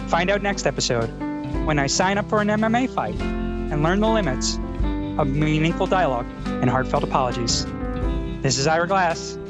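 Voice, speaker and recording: A man playing an earnest, insecure public-radio essayist with a precise, soft, overly sincere delivery in a radio comedy. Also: a little high, lightly acted. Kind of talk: confessional public-radio narration parody.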